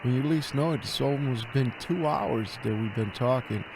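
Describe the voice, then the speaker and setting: A man's voice: mid-range voice, moderate pace, creaky, background noise. A man who is an amateur radio operator, chatting casually and making radio calls. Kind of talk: casual chat and radio calling with phonetic alphabet.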